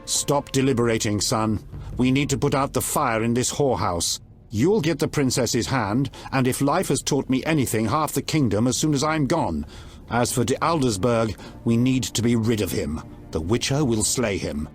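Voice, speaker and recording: Game monarch: male actor as earthy, authoritative middle-aged king, gruff and profane, animated delivery over faint music. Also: mid-range voice, normal speed, natural voice. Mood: commanding. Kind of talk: video game acting.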